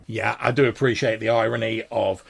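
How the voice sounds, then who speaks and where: A man hosting a podcast, casually responding to listener messages in a husky, gravelly voice. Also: fairly low pitch, level tone, fairly quick, a little hiss or hum. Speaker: podcast host.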